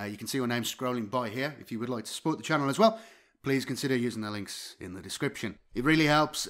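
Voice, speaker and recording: A man's voice: mid-range voice, fairly bright tone. A man chatting casually to viewers as the host of a channel.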